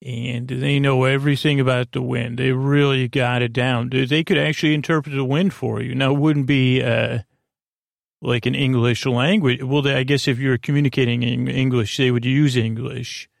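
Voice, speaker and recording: Creaky-voiced man gently rambling. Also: medium pitch, steady tone, dry recording, clean studio sound. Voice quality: creaky, gravelly. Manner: meandering, halting, trails off mid-thought; gentle, reassuring. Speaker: podcast host. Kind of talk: rambling bedtime monologue for sleep.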